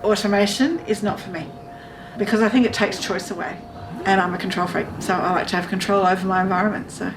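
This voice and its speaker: A woman's voice: medium pitch, steady tone, fast, music in the background, rough recording. A woman giving frank, opinionated answers in an interview.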